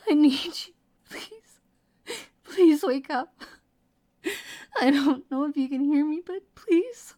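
A woman playing a guilt-ridden, devoted and dangerous lover in an intimate roleplay audio drama, with a breathy, emotional delivery. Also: high voice, unhurried, long pauses. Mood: tearful pleading. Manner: breathy, emotional delivery sliding between sobs and whispers. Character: devoted, guilt-ridden female psychopath lover, tearful and clingy.

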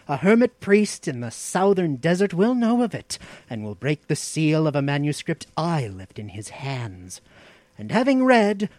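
A man's voice: on the high side, darkish tone, dry recording, pitched above the performer's own voice. A man voicing an ambitious, scheming outlaw in a fantasy audiobook, with an imperious, mocking, declaiming delivery. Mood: menacing. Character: ambitious, scheming, arrogant male outlaw plotter, impatient master. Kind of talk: dramatic fantasy audiobook dialogue.

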